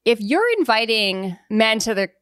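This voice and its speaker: A woman's voice: a little high, lively intonation, normal speed, a bit bright, clear, resonant tone, no room sound, studio quality. A woman hosting a podcast, asking questions in a casual conversational way. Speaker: podcast host.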